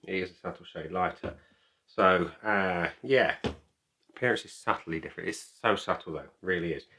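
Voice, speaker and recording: A man's voice: sits low, moderate pace, creaky, sharp tone. A man talking casually to camera as a beer reviewer, chatty, opinionated and emphatic. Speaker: beer reviewer and video host.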